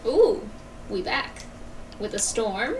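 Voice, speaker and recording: Casting: female game streamer, slow and musing, talking to herself casually over noticeable noise. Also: on the high side, sing-song. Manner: short, musing remarks to herself. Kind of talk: casual live game commentary.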